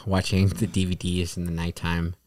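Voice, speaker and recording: A man's voice: low-pitched, completely flat delivery, moderate pace, a little mellow. A young man from New York in casual conversation, rambling with lots of "like" and restarts. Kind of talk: casual podcast chat.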